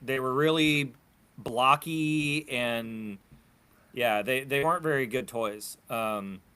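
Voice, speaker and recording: Male panelist, crisp bright voice, informal fan talk with halting repeats. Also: mid-range voice. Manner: halting, repeating words mid-thought. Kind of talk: casual fan discussion of toys and comics.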